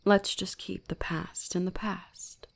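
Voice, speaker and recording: A woman voicing an ancient, possessive, gently dominant kitsune shrine spirit in intimate ASMR roleplay, breathy and often whispering in a soothing, commanding way. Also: on the low side, flat delivery, slow pace, full character voice. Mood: tender, coaxing.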